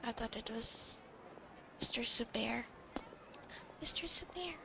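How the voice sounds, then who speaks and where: A woman's voice: on the high side, lively intonation, slow, vocal fry, slight background noise. A young woman gamer reacting casually to gameplay in short, fragmented exclamations and mutters. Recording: loud game music behind.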